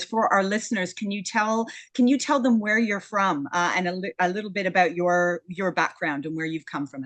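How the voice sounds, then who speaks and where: A woman's voice: medium pitch, a bit bright, dry recording. A woman from Canada hosting a podcast interview, effusive and rambling with many restarts. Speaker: podcast host. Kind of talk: casual interview conversation.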